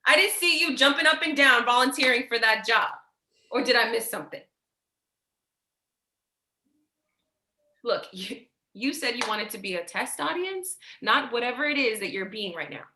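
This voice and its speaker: A woman's voice: a little high, animated, fairly quick, sharp tone. A woman playing a stressed, defensive, foul-mouthed sister in a staged play reading, emotional and confrontational. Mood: exasperated. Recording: echoey room.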